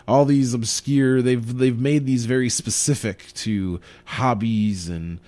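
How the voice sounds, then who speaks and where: A man's voice: mid-range voice, slow, mellow. A young adult man co-hosting a podcast, joking and laughing a lot in casual chat. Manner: joking, laughs often, swears. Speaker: podcast co-host.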